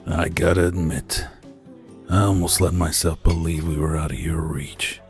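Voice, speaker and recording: A man's voice: rumbling low, level tone, normal speed, gravelly. A man playing a world-weary space detective in a radio drama, wry and laid-back in a noir way. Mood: guarded. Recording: music behind the voice.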